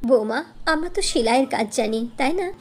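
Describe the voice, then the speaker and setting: A woman's voice: high-pitched, animated, a little fast, breathy edge. A woman voicing a caring older village mother-in-law in a cartoon, affectionate, fretful and emphatic. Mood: practical.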